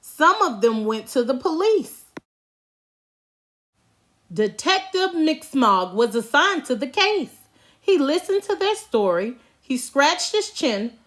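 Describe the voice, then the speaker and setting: Slow, high-voiced woman reading a storybook. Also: sing-song, a bit bright. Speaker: storybook reader. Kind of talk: expressive children's story narration.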